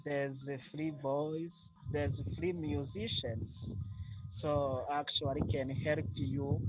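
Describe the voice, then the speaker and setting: Rwandan-accented man, Kinyarwanda native, unhurried and measured delivery over soft music. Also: a little high, little pitch movement, rough recording. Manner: slow, measured, with frequent fillers. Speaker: interviewee. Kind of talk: casual interview.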